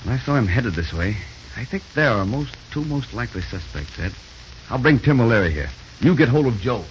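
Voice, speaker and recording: An actor playing a shrewd, authoritative police corporal in a 1930s radio drama, brisk and probing. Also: male voice, medium pitch, very animated, lightly acted. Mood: methodical. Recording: dull, faintly noisy 1930s radio recording.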